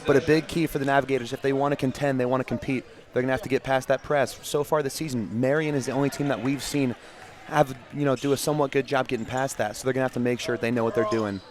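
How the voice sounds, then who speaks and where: A man talks fast as a basketball color commentator, breaking down the game. He strings his thoughts together loosely in a conversational way, with a voice of ordinary middle pitch. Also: steady tone, a crowd in the background. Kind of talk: game analysis.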